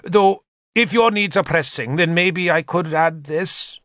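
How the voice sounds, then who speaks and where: A man's voice: fairly high pitch, measured pace, fairly bright tone, no echo, studio quality, voice pushed up. A man voicing an indignant, pleading, melodramatic bishop in an audiobook story. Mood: oily, obsequious. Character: shrill, sly, hysterically pious male bishop.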